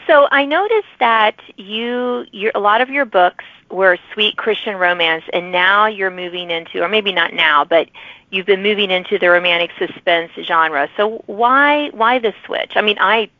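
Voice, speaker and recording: A woman's mid-pitched voice comes through a narrow, radio-like channel with no room sound. She hosts a podcast interview in an effusive, conversational style. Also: moderate pace, no room sound. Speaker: podcast host and author. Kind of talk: casual interview chat.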